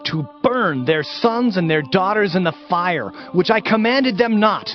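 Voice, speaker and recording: Male narrator for dramatized scripture: fairly high voice, solemn declamation, faint music underneath. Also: expressive, a little slow. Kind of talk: dramatized Bible reading. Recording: slightly muffled, music underneath.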